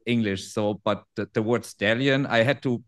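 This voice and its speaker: A man's voice: mid-range voice, steady tone, normal speed. A man in his forties from Germany, speaking English with a German accent as a non-native speaker, chatting in a friendly way as a guest in an interview. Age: 40s. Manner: friendly, laughs easily, restarts phrases in non-native English. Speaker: guest trader. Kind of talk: casual interview chat.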